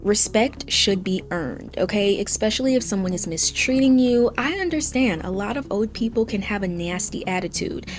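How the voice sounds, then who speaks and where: A woman's voice: mid-range voice, animated, moderate pace, hardly any pauses, faint music behind. A woman, giving casual, candid, conversational commentary. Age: 20s. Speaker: video commentary host.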